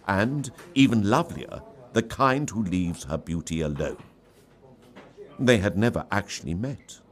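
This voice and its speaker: A man reading a story aloud in an expressive, theatrical storybook style. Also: lowish voice, unhurried. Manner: theatrical, dramatic delivery. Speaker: story reader.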